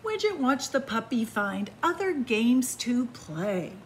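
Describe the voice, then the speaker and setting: A woman reading a picture book aloud to children in a library, with slow, expressive storytelling, hushed whispers and excited rises. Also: a little high, slight background noise. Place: library in front of bookshelves. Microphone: camera facing the book.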